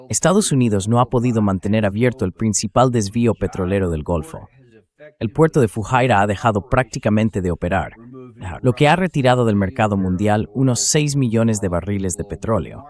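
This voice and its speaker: A man's voice: lowish voice, a little mellow. An AI-generated man's voice hosting conversational news commentary. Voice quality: synthetic AI translation voice. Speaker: host commentator.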